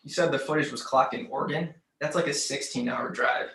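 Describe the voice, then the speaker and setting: An actor playing an easygoing, pragmatic investigator with a measured, placating manner, in a screenplay table read. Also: male voice, on the high side, fairly bright tone, reverberant, lightly acted. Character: easygoing, pragmatic investigator, the calmer male partner.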